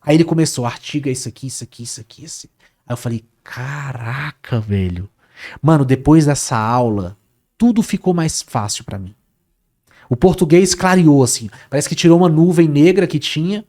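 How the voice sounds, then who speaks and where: Male guest, casual animated storyteller, repeats himself, lots of fillers. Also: a little high, moderate pace. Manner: animated, repeats words, says "cara" often. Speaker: guest, Portuguese teacher.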